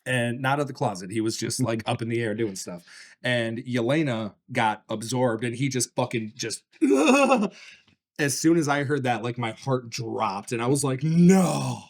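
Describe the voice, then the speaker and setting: A man chatting on a podcast, fired up and swearing freely. He laughs a lot as he talks, with a mid-pitched voice. The sound is close and studio-like. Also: expressive, a little slow. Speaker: podcast co-host. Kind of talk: casual chat.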